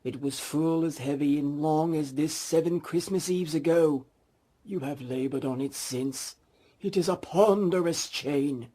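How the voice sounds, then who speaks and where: A man voicing the mournful ghost of a dead businessman in a storybook reading, with slow, solemn, drawn-out and doom-laden delivery. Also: fairly high pitch, dark tone, pitched above the performer's own voice. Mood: grave, weary.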